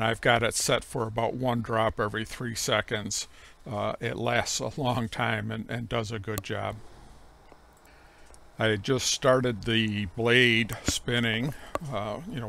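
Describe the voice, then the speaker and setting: A middle-aged man narrating how he runs his sawmill, speaking slowly and plainly with frequent pauses. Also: lowish voice, vocal fry, a bit bright, a little hiss or hum. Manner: slow, measured, plain-spoken with frequent "uh" pauses. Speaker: homestead sawmill operator. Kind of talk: voice-over explaining sawmill operation.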